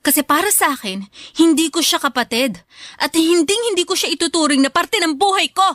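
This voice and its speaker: An actress playing a bitter, defiant young daughter in a radio drama, speaking in a sharp, scornful, cutting way. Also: female voice, extremely high pitch, lively intonation, fairly quick, no echo, clean studio sound.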